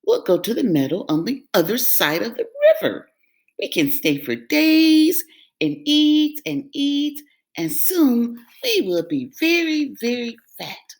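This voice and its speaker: A woman's voice: high-pitched, very animated, takes it slowly, darkish tone, pitched above the performer's own voice, full character voice. A woman voicing a small, clever male billy goat who talks in a chirpy, eager way. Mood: cocky.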